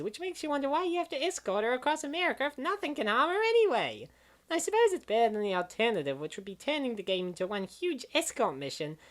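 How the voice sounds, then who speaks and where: An opinionated gamer girl, acted by a woman, with a high voice that swings around in pitch. She complains wryly and at length, as in a comic dub. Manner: wry, wordy complaining. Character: opinionated gamer woman grumbling about video games. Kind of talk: scripted comic dub monologue.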